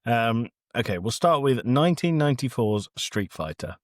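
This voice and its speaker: A man's voice: mid-range voice, sing-song, normal speed, no room sound, clean studio sound. A British man co-hosting a podcast, casually running a quiz for the others.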